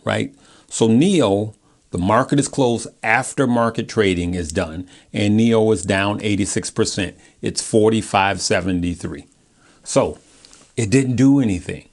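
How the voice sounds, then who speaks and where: An American man talking casually and informally to his viewers as a stock video host, in a chatty, colloquial way. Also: on the low side. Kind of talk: casual chat, giving stock commentary from notes.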